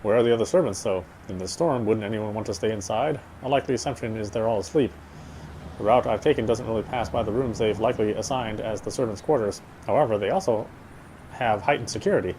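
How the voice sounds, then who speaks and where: A man's voice: fairly low pitch, fast talker, darkish tone, a little hiss or hum, poor audio. A man reading a horror game's story aloud as dramatic narration, sometimes stumbling and rereading phrases. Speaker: game player reading story text aloud. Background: game music.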